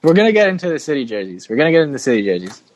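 A man's voice: fairly high pitch, very animated, very fast. A man hosting a casual sports podcast with jokey, slangy banter. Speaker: podcast host.

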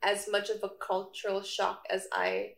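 A woman's voice: medium pitch, sing-song, normal speed. A young Indonesian woman student talking loosely and hesitantly about her life on a campus podcast taped in a roomy, echoing space. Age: young adult. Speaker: podcast guest, international student. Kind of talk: casual chat. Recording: roomy echo.

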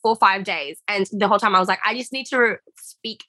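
A woman's voice: medium pitch, quick pace, a crowd in the background. A young woman with an Australian accent, chatting as a podcast guest, rambling with fillers and restarts. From Singapore. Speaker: guest freelancer. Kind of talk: casual interview chat.

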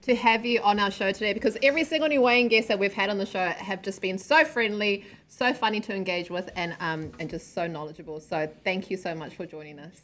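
A woman's voice: mid-range voice, brisk, hardly any pauses, fairly bright tone. A woman co-hosting a podcast, speaking in an enthusiastic, effusive way. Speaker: podcast co-host. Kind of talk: casual podcast chat. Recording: faint background noise.

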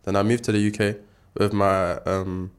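A man's voice: fairly low pitch, level tone, moderate pace, clean tone. A nineteen-year-old man with a London accent chatting casually as a podcast guest, using slang and lots of filler tags. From born in Ghana, grew up in South London. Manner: slangy, frequent filler tags such as like and innit. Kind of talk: casual chat, personal testimony.

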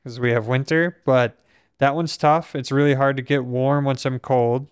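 A young man from Minnesota, hosting a casual conversation and speaking in a steady, reflective way, with a voice that is not very strong on breath. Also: medium pitch, moderate pace, clean tone, no room sound, clean studio sound. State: weak breath support. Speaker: podcast host.

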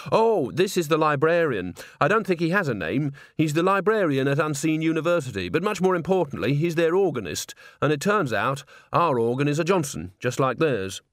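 Friendly young male tinkerer as a man plays him, casual and animated, closely recorded for an audiobook. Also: on the high side, brisk, hardly any pauses, no echo, studio quality, pitched above the performer's own voice. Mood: cheerful. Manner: casual, explanatory.

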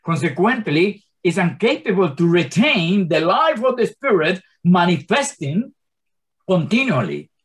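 A man in his sixties teaching scripture to a small group in non-native English. His pitch swings widely as he presses his points, and he often stumbles and restarts his phrases. The grammar slips are constant, but his delivery stays earnest and fervent. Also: big pitch swings, measured pace. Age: 60s. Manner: impassioned, many stammered restarts. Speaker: Bible teacher.

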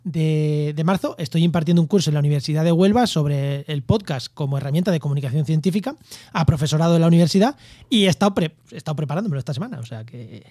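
Fast, high-pitched man hosting. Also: dark tone, no room sound, clean studio sound. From Albacete, La Mancha, Spain. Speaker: podcast host. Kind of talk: casual podcast chat.

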